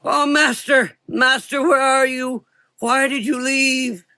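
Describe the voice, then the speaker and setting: A man playing a cowardly male villain in a gothic horror audio drama, wailing in a shrill, desperate and frantic way. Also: fairly even intonation, slow, bright, forceful tone, no echo, clean studio sound, full character voice. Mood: despair.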